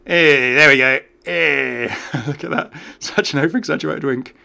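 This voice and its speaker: British man, gaming host, crackly bright voice, chatty, casual commentary. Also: medium pitch, moderate pace, creaky. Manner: chatty, easily amused. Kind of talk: casual off-the-cuff game commentary.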